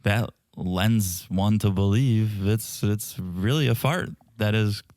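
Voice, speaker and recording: A man's voice: on the low side, slow, no room sound, studio quality. A man co-hosting a casual comedy podcast, teasing and playful as he reads listener reviews and gossip. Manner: playful, teasing, animated delivery. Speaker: podcast co-host.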